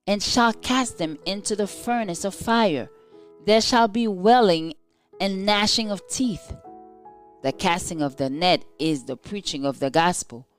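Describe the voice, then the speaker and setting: Female devotional reader: low-pitched, clear and resonant, solemn and measured, soft music underneath. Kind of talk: scripted devotional reading, scripture and commentary.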